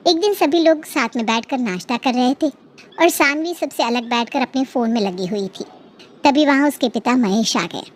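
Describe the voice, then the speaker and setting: A woman's voice: high voice, normal speed. A woman narrator reading a scripted family story.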